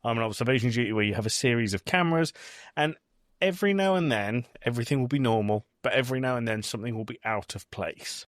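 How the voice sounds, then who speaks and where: A man's voice: medium pitch, fast talker, no room sound, studio quality. A man in his thirties with a British accent, hosting a podcast in casual conversation. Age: 30s. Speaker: podcast host.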